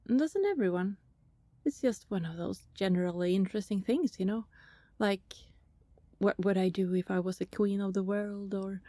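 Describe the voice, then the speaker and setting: A woman playing a friendly, teasing vampire neighbour in an intimate ASMR roleplay, chatty and casual, with a soft breathy voice that often slips toward a whisper. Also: medium pitch, no echo. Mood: playful, musing. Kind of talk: ASMR roleplay, intimate one-sided conversation with listener.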